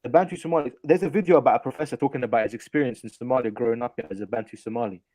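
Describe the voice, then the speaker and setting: Fast-talking man making his case. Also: medium pitch, a little mellow, no room sound. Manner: quick, reasoned, often asks "do you know what I mean". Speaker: panel guest. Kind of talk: casual panel debate.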